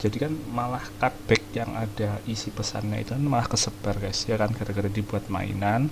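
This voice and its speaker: An Indonesian-accented man with a lowish voice doing informal game commentary. He explains things casually and in slang, with clear noise in the background. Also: steady tone, fast talker. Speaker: gaming streamer.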